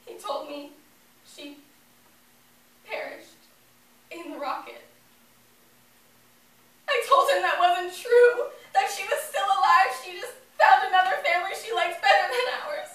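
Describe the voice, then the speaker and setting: A woman's voice: high voice, a bit bright, pitched above the performer's own voice, full character voice. Young woman as an earnest grieving daughter, very echoey. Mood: hurt, anguished. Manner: earnest, emotional, theatrical storytelling. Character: grieving daughter recalling her lost astronaut mother, childlike and earnest. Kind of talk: dramatic stage monologue. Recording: roomy, distant mic, faint noise.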